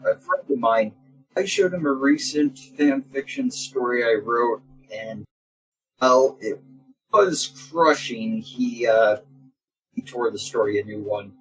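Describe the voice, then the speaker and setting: A man's voice: mid-range voice, takes it slowly, husky, poor audio. A man of thirty chatting casually as a co-host on a talk about a shared hobby. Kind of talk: casual chat.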